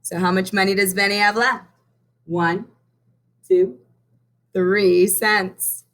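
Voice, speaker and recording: A woman teacher reading a picture book aloud to children, stopping to count coins with them. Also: medium pitch. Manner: slow and expressive, pausing to count coins. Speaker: primary math teacher. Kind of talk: children's read-aloud lesson.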